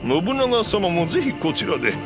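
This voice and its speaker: A middle-aged schemer of a warlord from a video game, gleeful and volatile. His voice is high for a man and swoops wildly between sly muttering and shrieking. He draws his words out slowly and melodramatically, over loud music. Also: big pitch swings. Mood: falsely courteous. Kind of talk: Japanese video game voice acting.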